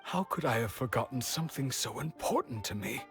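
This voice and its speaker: A man's voice: medium pitch, lively intonation, fairly bright tone, faint music behind, natural voice. An actor playing a wistful, resigned middle-aged village man in a video game, musing and patient. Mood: wistful, sad. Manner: slow, musing, sighing delivery.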